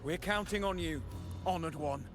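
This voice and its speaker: Earnest high-voiced male soldier, acted, music behind. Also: moderate pace, natural voice. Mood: hopeful. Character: earnest loyal male soldier of a besieged city. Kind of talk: video game voice acting.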